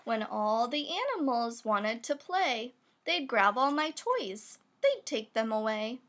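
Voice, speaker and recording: High, expressive woman reading a children's rhyme. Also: slow pace, a little hiss or hum. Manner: expressive, playful emphasis on sound words. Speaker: storybook reader. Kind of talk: children's picture book read-aloud, rhyming verse.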